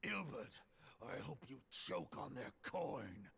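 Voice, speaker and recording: A man voicing a gruff, furious older male warrior with a gravelly voice. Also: medium pitch, very animated, slow pace, exaggerated voice. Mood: bitter. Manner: shouting in rage, then urging.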